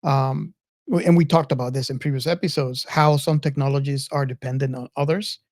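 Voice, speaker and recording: A man's voice: mid-range voice, steady tone, fairly quick, a little mellow, dry recording, studio quality. A man hosting a casual film discussion, rambling and circling back over his phrases as he talks. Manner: rambling, repeats and restarts phrases. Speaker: podcast host.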